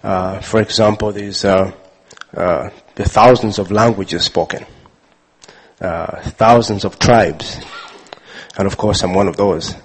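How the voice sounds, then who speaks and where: A man from Uganda telling a personal story with many pauses, fillers and restarts. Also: low-pitched, steady tone, breathy edge, poor audio. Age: adult father. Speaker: speaker sharing his testimony. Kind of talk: personal testimony talk.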